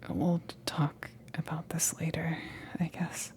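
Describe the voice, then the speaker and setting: A woman voicing a flustered, needy female alien crewmate in an ASMR roleplay, with halting, nervous, breathy whispers. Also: deep voice, little pitch movement, darkish tone. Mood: grateful, eager.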